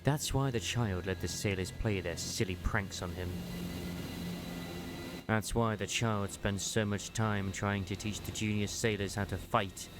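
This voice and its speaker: Low-voiced man narrating over music. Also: steady tone, unhurried. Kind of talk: dramatic scripted story narration, fan dub.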